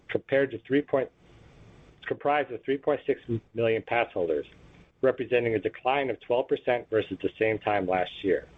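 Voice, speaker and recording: Over a thin, phone-quality conference line, a man reads a prepared financial report. His voice is medium in pitch with a husky, unpolished edge. He delivers it as a company executive would, formally and in a businesslike, measured way. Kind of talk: scripted earnings call presentation, read aloud.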